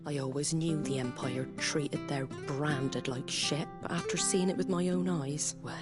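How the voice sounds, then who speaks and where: An actress playing a weary, plain-spoken middle-aged innkeeper in a video game. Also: female voice, deep voice, unhurried. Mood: bitter. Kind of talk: dramatic video game acting. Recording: guitar music behind the voice.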